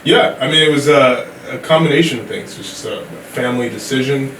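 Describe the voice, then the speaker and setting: A man in his thirties from Sierra Leone, a company CEO talking as a guest in a relaxed podcast interview. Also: medium pitch, fairly quick. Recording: roomy, distant mic with background noise.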